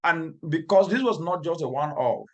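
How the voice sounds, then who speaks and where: A man's voice: on the high side, lively intonation, moderate pace, low-quality recording. A man from Cameroon with a Cameroonian accent, giving earnest, emphatic interview answers as an environmental expert and building his phrases through repetition. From northwestern Cameroon.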